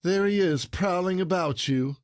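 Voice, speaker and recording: An indignant husband, acted by a man in a classic stage comedy audio play. His voice sits fairly high and is recorded dry and close. He scolds in formal phrasing, proud, jealous and sarcastic. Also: measured pace, dry recording.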